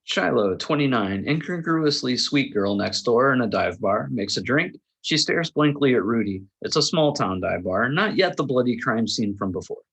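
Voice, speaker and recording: A man reads out screenplay action lines as the narrator of a table read. His voice is middling in pitch with a husky grain. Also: lively intonation, moderate pace, few pauses, fairly bright tone, low-quality recording. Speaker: narrator reading stage directions.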